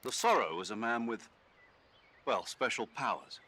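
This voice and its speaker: An actor playing an earnest, formal older male military commander in a video game, explaining things in a measured way. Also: medium pitch, animated, bright, forceful tone. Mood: grave, explanatory.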